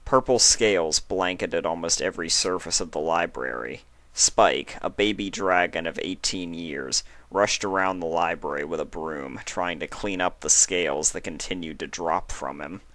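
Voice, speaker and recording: Low-voiced man reading a story. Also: moderate pace, hardly any pauses, a bit bright, no echo. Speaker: story reader. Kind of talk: scripted storybook narration of fan fiction.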